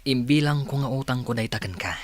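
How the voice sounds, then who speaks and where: An actor playing an apologetic, hesitant son of a company boss in an Ilocano radio drama. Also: male voice, mid-range voice, a little fast, slight background noise, natural voice. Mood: earnest, insistent. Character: Sir Daniel, apologetic, hesitant male company boss's son.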